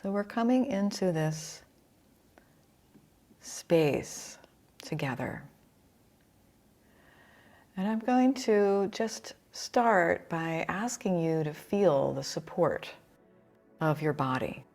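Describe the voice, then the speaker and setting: A woman leading a guided relaxation as a yoga instructor, soothing and unhurried, with pauses between phrases. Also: on the low side, expressive, a little mellow.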